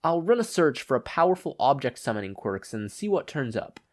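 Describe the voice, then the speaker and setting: A man's voice: medium pitch, very animated, a little fast, voice pushed up, close to an everyday voice. A man voicing a prim, exasperated and precise male official in a story. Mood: brisk, businesslike. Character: fussy, high-voiced male official, exasperated and analytical.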